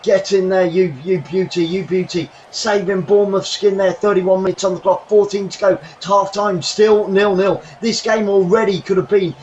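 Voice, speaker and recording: A man hosting a live football watchalong from his bedroom, commentating the match and thanking viewers, getting excitable and shouting when chances come. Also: high voice, normal speed, hardly any pauses, muffled recording, a little hiss or hum. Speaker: watchalong host. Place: home bedroom.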